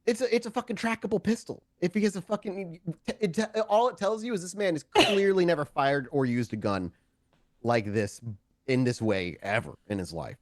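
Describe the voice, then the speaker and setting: A man's voice: on the high side, normal speed. A man narrating a tabletop game as game master, improvising with plenty of profanity. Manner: profane, animated storytelling.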